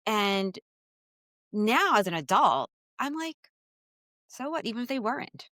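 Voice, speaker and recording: An American woman guest on an interview podcast, telling personal stories in a candid, animated way. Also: a little high, a little slow, dry recording, clean studio sound. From United States. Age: adult, childhood in the 1990s. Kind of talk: conversational interview.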